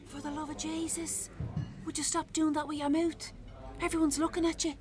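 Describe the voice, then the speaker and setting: A woman's voice: high-pitched, flat delivery, normal speed, over music, close to an everyday voice. An actress in a radio play playing a harried but tender mother, colloquial and motherly. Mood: exasperated.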